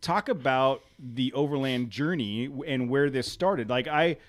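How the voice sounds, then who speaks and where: A man's voice: medium pitch, a little slow, dry recording. American guy hosting a live podcast stream, jokey, laughs a lot, dry close sound. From United States. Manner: chatty, jokey, laughs often. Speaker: podcast host. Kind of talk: casual live-stream chat.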